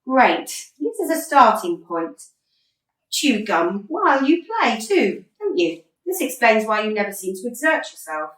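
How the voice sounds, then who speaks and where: A woman's voice: a little high, very animated, a little slow, fairly bright tone. A woman playing an exasperated, earnest football manager in a radio comedy, patient and articulate with a dry sarcastic edge. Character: earnest, exasperated female football manager coaching wayward players. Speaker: actor in a radio play. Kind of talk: radio comedy drama acting. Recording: roomy echo, distant from mic.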